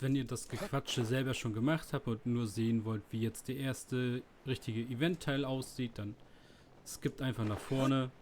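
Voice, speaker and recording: A man's voice: mid-range voice, darkish tone, slight background noise. A man giving casual, laid-back let's play commentary, thinking aloud as he goes.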